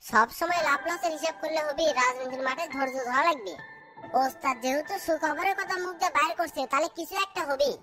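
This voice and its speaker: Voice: sits high, brisk, over music, lightly acted. A performer voicing scheming, boastful small-town political men in a cartoon comedy, blustering colloquially.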